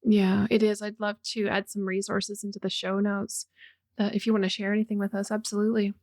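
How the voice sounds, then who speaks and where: A woman's voice: medium pitch, completely flat delivery, a little fast, a little mellow. A woman hosting a podcast interview, chatting easily and asking follow-up questions. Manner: friendly, asks follow-up questions, tag questions. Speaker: podcast host, facilitator. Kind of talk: conversational interview.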